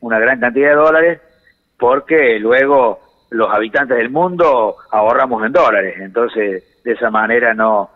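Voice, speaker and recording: A man's voice: medium pitch, a little slow. Argentine man on a reverberant phone line. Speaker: economics commentator, phone guest. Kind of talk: explanatory radio-style interview answers. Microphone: telephone. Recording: reverberant phone call feed.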